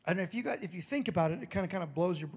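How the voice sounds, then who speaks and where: A man preaching a sermon with a throaty, hoarse voice, moving between emphatic declarations and casual, joking asides. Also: sits high, expressive, racing. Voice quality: gravelly, throaty. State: hoarse after singing. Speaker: pastor.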